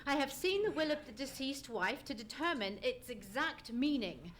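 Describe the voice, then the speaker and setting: A woman playing a shrewd, assured gentleman detective, formal and precise, in a live radio play. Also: high voice, lively intonation, moderate pace, a little hiss or hum. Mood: brisk, serious. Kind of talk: live old-time radio play acting.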